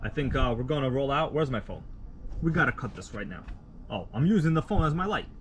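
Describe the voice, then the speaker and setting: A man from Russia, a native Russian speaker, hosting a casual live stream outdoors at night, rambling in a relaxed, slangy way with many fillers. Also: medium pitch, brisk, background noise. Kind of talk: casual live stream chat, reading viewer comments.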